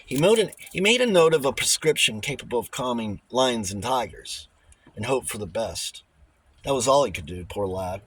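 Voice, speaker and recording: A man with a husky voice reading a novel aloud as an audiobook narrator. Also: medium pitch, expressive, moderate pace, a bit bright. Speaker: audiobook reader. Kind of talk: scripted novel narration with character dialogue.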